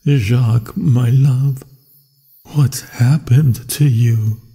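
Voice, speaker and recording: A man voicing a lovesick, pleading victim in a breathy, imploring way, in an ASMR fantasy roleplay. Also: medium pitch, fairly even intonation, very slow, mellow, voice pushed up, exaggerated voice. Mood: pleading, mock-distressed. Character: pleading, lovesick victim, mockingly quoted by a werewolf. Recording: long cavernous reverb.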